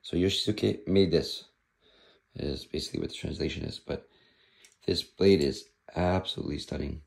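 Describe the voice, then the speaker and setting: A man with a hoarse, fading voice, casually showing off a sword with frequent pauses and restarts. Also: rumbling low, darkish tone. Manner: halting, with many fillers and restarts. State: hoarse, losing his voice. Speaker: sword dealer presenting a katana. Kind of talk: casual show-and-tell.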